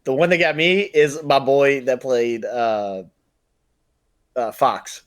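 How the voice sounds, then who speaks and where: A man's voice: mid-range voice, fairly even intonation, slow pace. A man co-hosting a podcast, giving his opinions in an informal, conversational way. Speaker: podcast co-host. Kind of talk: casual podcast chat about movies.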